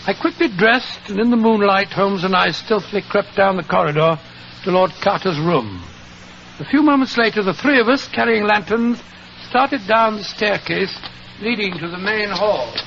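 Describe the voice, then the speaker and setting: An earnest, proper British gentleman in an old radio mystery. His voice sits high and is full of creak, with a flustered, hesitant way of talking. The 1940s recording is muffled and very noisy. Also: moderate pace. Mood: storytelling.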